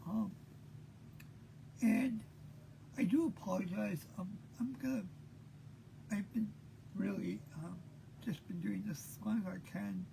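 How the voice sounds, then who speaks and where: A man in his fifties hosting an unscripted monologue, talking haltingly with many pauses, tired and short of breath. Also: sits high, gravelly, warm tone, a little hiss or hum, poor audio. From Maine. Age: 50s. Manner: halting, full of pauses and fillers. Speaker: host.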